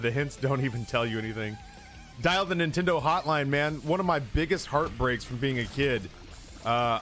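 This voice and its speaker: A man's voice: medium pitch, fairly bright tone. A man in his thirties who streams, telling stories in a rambling, profane, self-mocking chat. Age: 30s. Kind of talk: casual storytelling chat.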